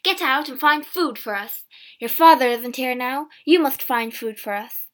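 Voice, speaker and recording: Woman playing an angry, bullying mother, high and loud. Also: measured pace. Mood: angry, commanding. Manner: shouting, sharp commands. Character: angry, bullying mother in a poor village family.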